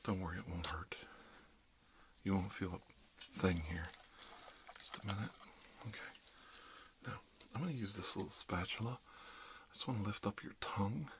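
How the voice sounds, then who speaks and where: Low, murmuring ASMR dentist played by a man. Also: moderate pace, long pauses, natural voice. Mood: soothing, reassuring. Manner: soft, often dropping into whispers, soothing. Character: calm reassuring dentist treating an undead patient. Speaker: ASMR roleplay performer. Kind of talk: ASMR roleplay, murmured one-sided dialogue. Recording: clear background noise, clicks.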